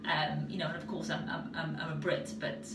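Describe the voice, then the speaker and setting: A woman's voice: sits low, flat delivery, normal speed. A young woman with a South Wales Valleys accent, chatting casually with lots of fillers and restarts. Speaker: video answerer. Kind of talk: casual spoken answer. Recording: roomy echo, far from mic, loud noise.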